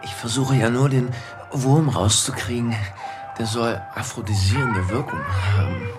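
An actor playing a playful, easily distracted filmmaker sidekick in a German radio drama. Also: male voice, medium pitch, huge pitch swings, moderate pace, over music. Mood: teasing. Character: flippant, dreamy filmmaker sidekick named Lester.

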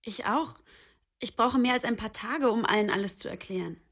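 A woman's voice: on the high side, normal speed, a bit bright. An actress in a radio play, playing an indignant young woman caught between rival men, questioning and defiant.

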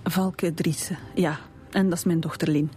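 Woman as a grieving Flemish widow, mellow voice. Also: lowish voice, steady tone, normal speed, slight background noise, natural voice. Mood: sorrowful, restrained. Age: middle-aged. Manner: restrained, sorrowful. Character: grieving, composed middle-aged widow and shopkeeper. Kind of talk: naturalistic radio drama acting.

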